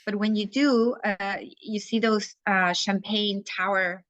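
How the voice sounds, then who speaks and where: Chilean woman, Spanish-accented English, candid and blunt, bright sharp tone, dead-dry sound. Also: medium pitch, steady tone, takes it slowly, no echo, muffled recording. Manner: candid, frank and outspoken. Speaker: guest, life coach. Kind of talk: casual interview conversation.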